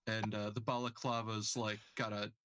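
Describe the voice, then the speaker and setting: A man chatting casually among fellow gamers in a halting way. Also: on the low side, flat delivery, very noisy. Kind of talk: casual chat.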